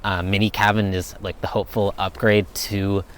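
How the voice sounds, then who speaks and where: Laid-back low-voiced young man. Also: little pitch movement, moderate pace. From United States. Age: young adult. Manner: laid-back, rambling, thinks aloud. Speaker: vlogger answering viewer questions. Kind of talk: casual Q&A chat.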